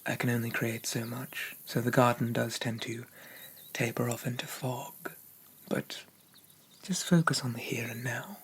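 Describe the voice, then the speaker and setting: A man playing a haughty, possessive demon prince of dreams in an ASMR roleplay, speaking formally and pompously in a soft, often whispered voice. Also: mid-range voice, steady tone, unhurried, slight background noise, natural voice. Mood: softly instructive. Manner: pompous, formal, archaic diction. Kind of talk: ASMR roleplay, addressing the listener.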